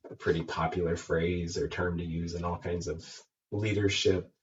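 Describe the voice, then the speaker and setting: A man's voice: deep voice, level tone, normal speed, recorded from a distance. A man co-hosting a podcast, naturally nasal and more so today from a head cold, joking and teasing in casual chat. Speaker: podcast co-host. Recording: dull lo-fi sound from off mic, faint hiss.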